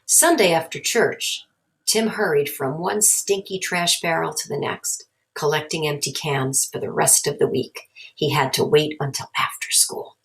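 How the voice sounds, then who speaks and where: A woman's voice: low voice, unhurried, creaky, a bit bright, poor audio. A woman narrating a children's story aloud as a storybook reader.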